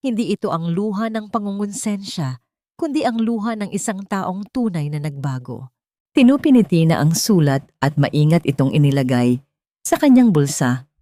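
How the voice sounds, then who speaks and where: Mellow, low-voiced Filipino woman narrating drama. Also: expressive, moderate pace, no echo, clean studio sound. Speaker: narrator of a drama story podcast. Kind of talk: scripted dramatic story narration.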